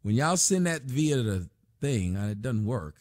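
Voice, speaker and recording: A man's voice: medium pitch, big pitch swings, a little slow, a little mellow, dry recording. A man hosting a casual livestream from a small room at his desk, loosely reading donations and chat aloud with plenty of profanity. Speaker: livestream host.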